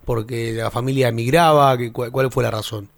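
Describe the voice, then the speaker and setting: A man with an Argentine Spanish accent hosting a radio interview, chatty and warm, often repeating words as he goes. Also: medium pitch, fairly even intonation, moderate pace, no room sound. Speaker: radio host.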